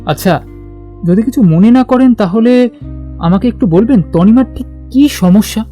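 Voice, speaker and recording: An actor playing a gentle, earnest young man who speaks carefully in an audio drama. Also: high voice, moderate pace, lightly acted. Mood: polite, concerned. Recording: music under the voice.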